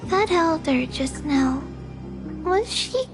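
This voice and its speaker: Tiny earnest game girl, super high voice, unhurried, sweet. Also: expressive, over music, full character voice. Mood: gentle concern. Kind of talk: game voice acting.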